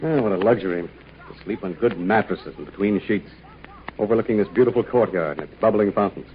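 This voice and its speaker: A man playing a calm, thoughtful aviator hero in a 1940s radio serial, speaking in a measured, fatherly way. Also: on the low side, lightly acted. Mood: relaxed, content. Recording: noisy, tinny 1940 radio broadcast.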